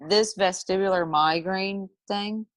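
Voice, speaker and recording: Supportive video-call host, mid pitch, dry close sound. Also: steady tone, a little slow, dry recording. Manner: supportive, asks follow-up questions. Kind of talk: casual group video chat.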